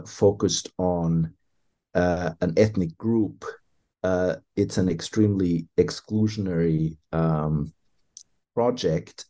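A man, an author and historian, answering interview questions in a considered, hesitant way with many pauses and restarts. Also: deep voice, dark tone.

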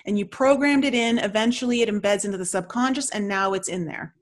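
A woman's voice: medium pitch, quick pace. Emphatic woman hosting a conversational interview. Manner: emphatic, affirming. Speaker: podcast host, tarot reader.

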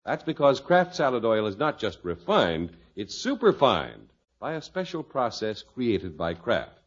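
Very animated vintage radio announcer, a man. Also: mid-range voice, a little slow, husky. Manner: emphatic, selling. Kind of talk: vintage radio advertising pitch. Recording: muffled vintage radio recording.

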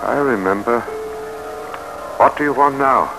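An actor playing an earnest, conflicted American statesman in old-time radio drama style, with a halting, emphatic, theatrical delivery and an American accent. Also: medium pitch, over music, close to an everyday voice. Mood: anguished, resigned. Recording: noisy vintage radio broadcast recording.

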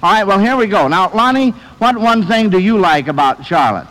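A man hosting an old-time radio quiz show for children, speaking in a folksy, halting, chatty way. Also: high voice, animated, a bit bright, rough recording. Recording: vintage radio broadcast.

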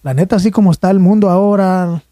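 A man's voice: high voice, quick pace, mellow. A young man with a Mexican accent rambling in casual podcast chat, slangy and swearing freely. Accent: Mexican Spanish. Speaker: podcast co-host.

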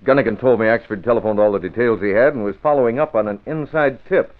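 An actor playing a brisk, inquisitive newspaper chief in an old radio drama. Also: male voice, medium pitch, lightly acted. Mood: brisk, curious. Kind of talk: 1940s radio drama acting. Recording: tinny old radio recording.

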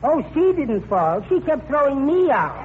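An actor playing a meek, henpecked little husband prattling away in a 1940s radio comedy, over a tinny, noisy broadcast. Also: male voice, very high voice, big pitch swings, moderate pace. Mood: earnest.

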